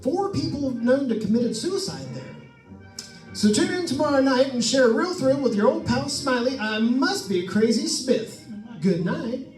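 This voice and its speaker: High-voiced, excitable radio disc jockey, acted by a man. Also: moderate pace, recorded from a distance. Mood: gleeful, teasing. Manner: showy, chummy patter with rhetorical questions. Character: Smiley Smith, excitable jovial male radio disc jockey. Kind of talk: old-time radio drama acting. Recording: roomy stage pickup from afar, music behind.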